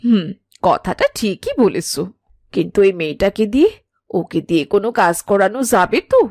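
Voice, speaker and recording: Scolding village mom in a cartoon, middle-aged, nagging, voice goes up and down a lot. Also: female voice, mid-range voice, lively intonation, normal speed, no room sound, clean studio sound. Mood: worried. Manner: nagging, sharp-tongued, emphatic. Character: scolding, exasperated village mother. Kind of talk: animated cartoon voice acting.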